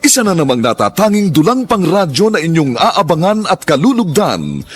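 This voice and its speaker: A man narrating a radio drama as announcer, solemn and theatrical, with drawn-out emphatic phrases and a husky voice. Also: fairly high pitch, wildly swooping pitch.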